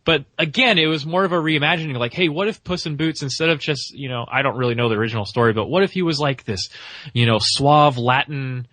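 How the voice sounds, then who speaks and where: A man with a bright, forward voice in a dry, close recording. He talks casually as a podcast co-host, offering opinions and hedging as he thinks them through. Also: on the high side, fast talker, no echo. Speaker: podcast co-host reviewing animated films. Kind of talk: casual film discussion.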